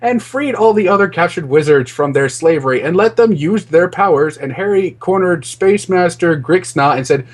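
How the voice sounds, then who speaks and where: Animated higher-voiced man reading fan fiction. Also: normal speed, few pauses. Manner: mock-earnest, often breaking into laughter. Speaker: podcast host reading fan fiction aloud. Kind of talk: comic dramatic reading.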